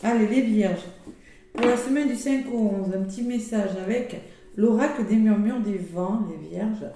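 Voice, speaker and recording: Woman, hoarse, mellow, chatty card reader, very echoey room, soft background music. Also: medium pitch, a little slow. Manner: chatty, with many fillers and exclamations. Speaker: tarot and oracle card reader. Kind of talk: casual weekly astrology card reading.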